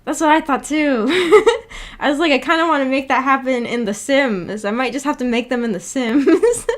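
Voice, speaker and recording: Female streamer, fairly high voice, giggly and rambling, for casual book club chat. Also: moderate pace.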